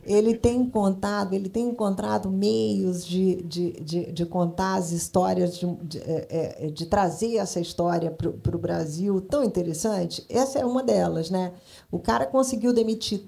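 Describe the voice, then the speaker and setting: A woman's voice: medium pitch, normal speed, vocal fry, dark tone. A middle-aged woman from Brasília, Brazil, speaking emphatically as a panelist in a live discussion. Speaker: panelist, advertising professional. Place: stage at a public event.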